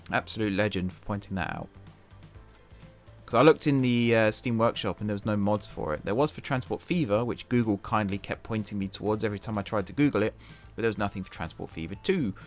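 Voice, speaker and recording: A man hosting a gaming channel. He chats casually over his gameplay and thinks aloud with self-deprecating humour, and his voice is croaky from a long day of talking. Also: lowish voice, brisk. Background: background music.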